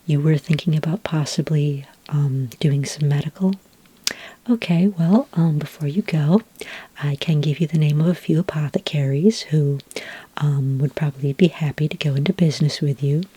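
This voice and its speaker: Whispering, low-voiced female dragonmaster, acted by a woman. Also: no room sound, poor audio. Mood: gentle, advising. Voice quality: breathy, whispery. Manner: gentle, hesitant, unhurried explaining. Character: patient, helpful female dragonmaster giving business advice. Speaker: ASMR roleplay performer. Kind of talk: soft-spoken whispered ASMR roleplay. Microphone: close microphone in a dry space.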